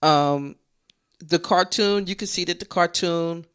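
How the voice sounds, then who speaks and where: A woman's voice: on the low side, measured pace, smooth. A woman co-hosting a casual movie review, talking conversationally and repeating her points for emphasis. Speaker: co-host of a movie review show.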